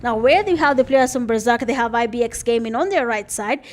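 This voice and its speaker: A woman's voice: fairly high pitch, brisk. A young Kenyan woman doing chatty live play-by-play commentary for an esports match, with plenty of filler words. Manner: chatty, laughs at herself, frequent uh fillers. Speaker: esports caster.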